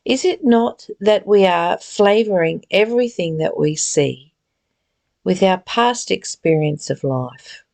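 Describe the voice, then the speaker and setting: A woman reads and reflects as a spiritual teacher, earnest and deliberate. Her pitch moves widely and expressively, with a gravelly creak in the voice. She takes her time, gently exhorting the listener at a slow pace. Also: animated. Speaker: reader and spiritual study teacher. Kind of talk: read-aloud spiritual text with reflective, informal commentary.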